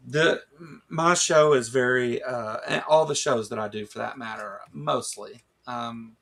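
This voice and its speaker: A man's voice: mid-range voice, measured pace, creaky, a bit bright. A man co-hosting a casual livestream chat, rambling with lots of restarts and fillers, losing his voice. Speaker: show co-host.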